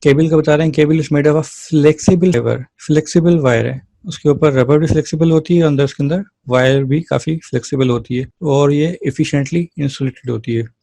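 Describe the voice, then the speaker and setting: A man's voice: on the high side, steady tone, normal speed, creaky, hardly any pauses, mellow, dry recording. A man lecturing as a physiotherapy teacher, explaining things step by step in an informal way and often checking in with listeners.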